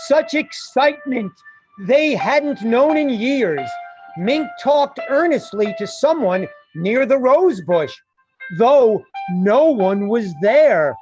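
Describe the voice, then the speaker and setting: A woman narrates a radio play in a theatrical, deliberate way. Her pace is slow and her pitch swings expressively. The recording is a little muffled, with clear music behind her. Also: darkish tone. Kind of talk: dramatic scripted radio narration.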